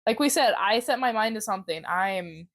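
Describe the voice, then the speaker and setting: Young woman podcast host, casual and giggly, mid pitch, dry sound. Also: lively intonation, normal speed, fairly bright tone, no room sound. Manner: chatty, giggly, candid. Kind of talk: casual chat.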